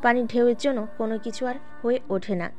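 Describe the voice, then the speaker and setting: High-voiced woman narrator over music. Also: fairly even intonation, fast, darkish tone. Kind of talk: scripted audio story narration. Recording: background music under the voice.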